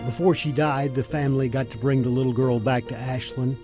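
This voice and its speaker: An older man narrating a local TV feature story in a measured, folksy storytelling way. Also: mid-range voice, fairly even intonation. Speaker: TV feature host and reporter. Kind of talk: scripted news narration. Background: music.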